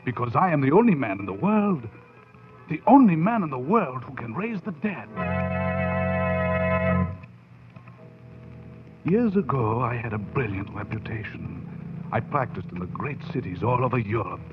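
An actor playing a proud, bitter European mad scientist professor in a theatrical 1940s radio horror monologue. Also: male voice, medium pitch, very animated, normal speed, phone-quality sound, music in the background, natural voice. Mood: boastful, fervent. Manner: theatrical, grandiose, swinging in intensity. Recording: thin band-limited 1940s radio recording.

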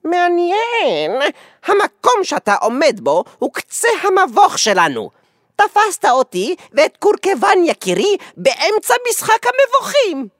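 A man's voice: very high voice, wildly swooping pitch, no echo, studio quality, voice pushed up, exaggerated voice. A man voicing a bored, bossy, hot-tempered young girl with a screechy, commanding manner. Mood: sly, confident. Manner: screechy, commanding, sarcastically sweet.

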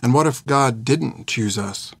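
A man's voice: a little high, big pitch swings, a little fast, dry recording, studio quality, voice pushed up. A male audiobook narrator voicing a stubborn, inquisitive young girl in earnest tones. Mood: hushed, troubled. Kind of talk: audiobook character acting.

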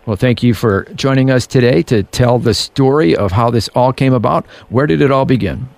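A man hosting a radio interview in a friendly, measured way. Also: mid-range voice, creaky, a little mellow. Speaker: radio host.

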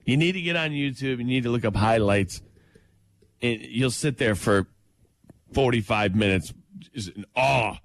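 A man co-hosting a morning radio show, talking sports in an emphatic, excited way and laughing easily. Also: mid-range voice, moderate pace, long pauses.

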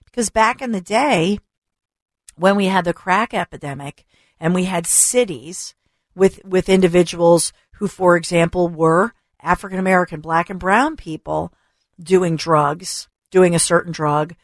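A woman's voice: lowish voice, fairly even intonation, a little slow, no room sound, clean studio sound. Emphatic woman radio host ranting. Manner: emphatic, rhetorical questions, repeats for stress. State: losing her voice. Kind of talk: opinionated radio rant. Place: radio studio. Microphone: studio microphone.

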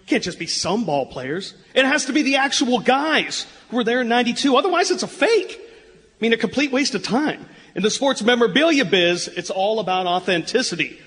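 Opinionated older sports shop guy, high pitched, emphatic sales pitch, echoey noisy hall, radio play. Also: sing-song, a little fast, fairly bright tone, heavily acted. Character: opinionated older memorabilia dealer, retired broadcast engineer. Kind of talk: live radio play acting. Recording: live stage, long reverb, clear noise.

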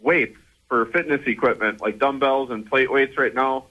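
A man's voice: mid-range voice, normal speed, sharp tone. A man calling in to a radio show, speaking in a matter-of-fact way. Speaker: caller. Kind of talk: phone-in chat. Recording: dull lo-fi phone line.

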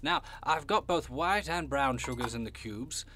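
A man's voice: medium pitch, expressive, measured pace, background noise, lightly acted. Man voicing a prim, swooping, theatrical goat-man monster. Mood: fond, genteel. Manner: prim, courtly, long-winded, old-fashioned wording. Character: genteel, fussy old Jersey Devil, a winged goat man. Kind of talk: audio drama acting.

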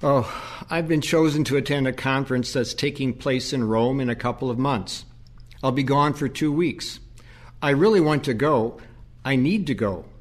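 A man's voice: mid-range voice, normal speed, long reverb tail, close to an everyday voice. A man acting in an audio play as a stressed, pleading priest who cares for his confused mother. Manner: earnest, burdened, pleading.

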